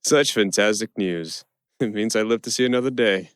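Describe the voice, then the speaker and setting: A man with a low voice tells a story in the first person, as in an audio play. His delivery is wry and theatrical, that of a dramatic storyteller. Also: normal speed, background noise. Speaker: actor performing a one-man audio play.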